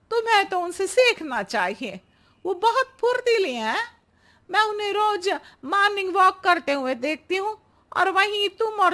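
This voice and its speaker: A woman voicing a chatty middle-aged female friend in a cartoon, lively and chiding. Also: very high-pitched, full character voice. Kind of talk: cartoon voice acting.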